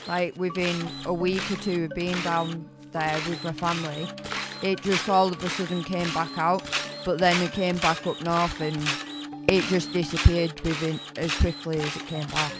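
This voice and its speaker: Chatty young English woman over loud music. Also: on the low side, flat delivery, moderate pace. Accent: English, mixed northern and southern. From England. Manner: chatty and rambling, many "like" fillers. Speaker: gaming streamer. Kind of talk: casual chat while playing a game.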